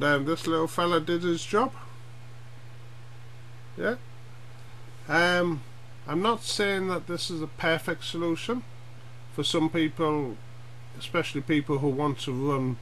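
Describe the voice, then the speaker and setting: Slow-talking man, bright noisy recording. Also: fairly high pitch. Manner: halting, thinking aloud. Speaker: hobbyist presenter demonstrating radio gear. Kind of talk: casual hands-on demonstration chat. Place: small room at home and back garden. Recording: noisy amateur camera audio.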